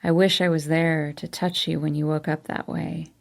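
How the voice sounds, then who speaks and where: A woman reading a story aloud, voicing a tender young man who murmurs low and intimately. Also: level tone, normal speed, vocal fry.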